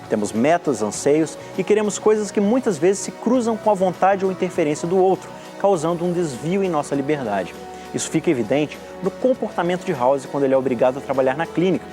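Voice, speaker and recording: A man's voice: fairly high pitch, expressive, quick pace, a little mellow, faint music behind. A man reading a scripted, reflective review of a TV series. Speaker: TV series commentator.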